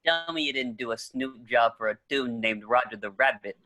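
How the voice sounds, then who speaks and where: A man reads a hangdog police lieutenant for a table read. His voice sits at a middle pitch with a bright, firm edge. The recording is dry and close. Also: normal speed, no echo, close to an everyday voice. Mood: weary, terse. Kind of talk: amateur screenplay table read.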